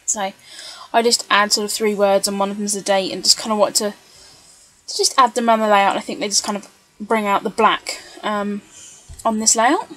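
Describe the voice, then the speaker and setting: A woman's voice: medium pitch, little pitch movement, moderate pace, fairly bright tone, rough recording. A woman giving a casual, chatty, rambling voice-over for a craft video. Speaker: scrapbooker narrating craft video.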